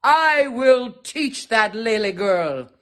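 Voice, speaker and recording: A woman voicing a big, boastful male elephant who speaks in slow, booming declarations. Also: expressive, fairly bright tone.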